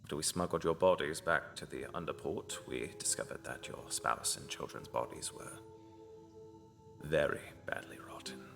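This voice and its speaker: Very deep, gravelly underground tailor. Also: male voice, moderate pace, in a whisper, over music. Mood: grim, regretful. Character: gruesome but apologetic underground tailor, cult member who stitches corpses. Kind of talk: audio drama acting.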